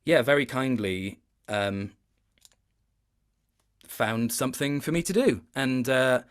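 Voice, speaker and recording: A man with a medium-pitched voice chats casually as an interview guest. He sounds like a working actor talking shop, thoughtful and a little self-deprecating, picking his way through each point. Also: slow pace, clean tone.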